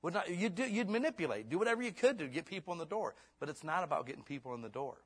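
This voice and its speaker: Man, 50s, pastor, husky voice, preaching in church, hushed then fervent. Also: on the high side, animated, rapid-fire. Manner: swings between hushed and fervent. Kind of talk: sermon ending in prayer.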